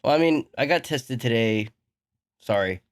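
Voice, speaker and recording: A man's voice: medium pitch, animated, moderate pace. Congested, nasal man co-hosting a podcast. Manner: joking, laughs at his own puns. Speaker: podcast co-host. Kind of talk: casual podcast chat.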